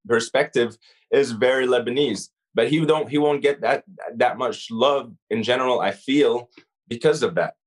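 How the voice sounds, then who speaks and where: A man with a mixed Arabic-influenced English accent talks in a casual podcast chat. He speaks at a middle pitch, reflective and searching, often restarting phrases and leaning on "you know". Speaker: rapper, guest.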